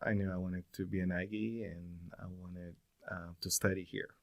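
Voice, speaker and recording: A low-pitched man in his forties with a Brazilian Portuguese accent, speaking as an interview guest. He tells his story in a relaxed conversational way, pausing often and filling gaps with small hesitations. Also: lo-fi sound. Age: 40s. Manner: thoughtful, many pauses and fillers.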